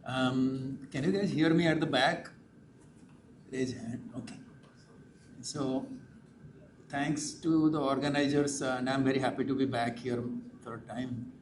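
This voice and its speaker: A man's voice: mid-range voice, fairly even intonation, measured pace, long pauses, slight background noise. Male guest speaker, friendly to the room. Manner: friendly, addresses the audience directly. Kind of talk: conference address opening. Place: large auditorium.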